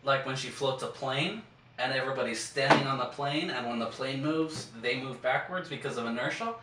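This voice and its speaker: A man's voice: mid-range voice, fairly quick. A man co-hosting a podcast in casual, slangy chat with some swearing. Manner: slangy, profane. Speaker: podcast co-host. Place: echoing room. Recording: roomy, distant mic, faint noise.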